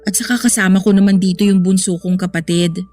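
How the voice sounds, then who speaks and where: A woman's voice: medium pitch, level tone, fast talker, darkish tone, soft background music, lightly acted. An actress playing a caring, sensible young woman in a radio drama, speaking earnestly and reassuringly. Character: caring, sensible young woman consoling her troubled boyfriend.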